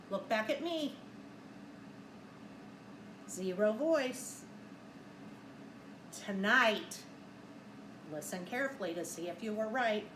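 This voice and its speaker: A woman teacher reading a storybook aloud expressively to young children, speaking deliberately and adding simple asides and questions. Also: high voice, a bit bright. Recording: echoey room with faint background noise.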